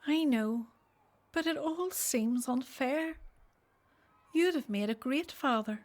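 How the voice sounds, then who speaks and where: A loving older wife in a radio drama, wistful and plaintive. Her voice is notably high and soft-hearted. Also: slow pace. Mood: sorrowful. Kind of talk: radio play acting.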